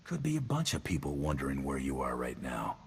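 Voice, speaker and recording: An actor playing a big, flirtatious tough mercenary in a video game, teasing and laconic. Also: male voice, rumbling low, wildly swooping pitch, fairly quick, gravelly, slight background noise, heavily acted. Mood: matter-of-fact.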